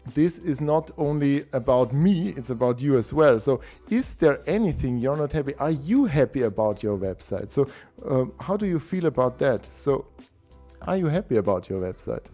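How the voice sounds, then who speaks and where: Hoarse, enthusiastic man. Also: mid-range voice, very animated, moderate pace, dark tone, faint music behind. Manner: enthusiastic, chatty, with laughs and restarts. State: hoarse, a bit unwell. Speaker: host of a typography livestream. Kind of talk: casual live-stream chat.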